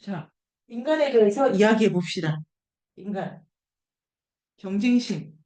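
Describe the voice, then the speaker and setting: A woman's voice: mid-range voice, lively intonation, measured pace, dark tone, roomy sound. A woman lecturing informally to a seminar group, thinking aloud, posing questions and repeating key words for emphasis. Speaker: lecturer leading an online book seminar.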